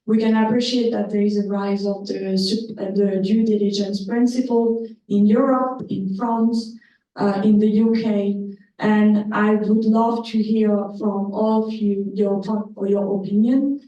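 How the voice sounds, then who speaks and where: A young woman from Congo asks the panel questions, polite and hesitant, pausing on fillers. Her voice is mellow and sounds distant, caught from far off in a very echoey room. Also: medium pitch, steady tone, highs cut off. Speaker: student asking questions. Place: law school lecture room.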